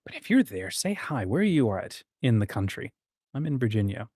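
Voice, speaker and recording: A man with a mid-pitched voice chats casually as the host of a livestream. His manner is informal and encouraging, and the sound is close and dry. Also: big pitch swings, brisk, darkish tone, breathy edge, dry recording. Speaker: host of a writing community livestream.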